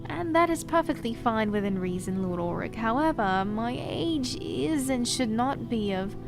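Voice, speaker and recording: An actress playing an ageless queen who speaks in a measured, gracious and guarded way. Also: fairly high pitch, expressive, gravelly. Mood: formal. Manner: measured, formal and gracious, coolly reserved. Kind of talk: audio drama acting. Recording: music bed under the voice.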